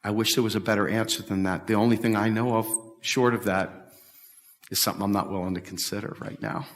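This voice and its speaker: A man's voice: fairly low pitch, steady tone, fairly quick. Middle-aged guy preaching his point hard, lots of repeats, echoey big hall sound. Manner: emphatic, repetitive, talks with big hand gestures. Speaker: speaker at a policy event. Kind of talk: animated public talk and Q&A. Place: large bright hall with windows, standing before a stage table.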